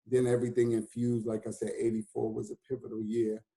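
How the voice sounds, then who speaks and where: An American man in his fifties, a DJ and hip house artist, talking in a relaxed conversation and laughing easily. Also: mid-range voice, quick pace. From United States. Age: 50s. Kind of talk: casual conversation.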